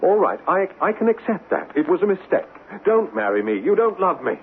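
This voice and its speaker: Radio drama hero: upright major, proud, forceful, highly expressive pitch, tinny old broadcast quality. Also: male voice, fairly quick, slight background noise. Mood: hurt, insistent. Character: handsome, indignant British cavalry major, jilted suitor.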